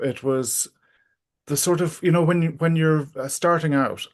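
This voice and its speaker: A middle-aged or older man, talking casually and reflectively as an interview guest, pausing often to think aloud. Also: medium pitch.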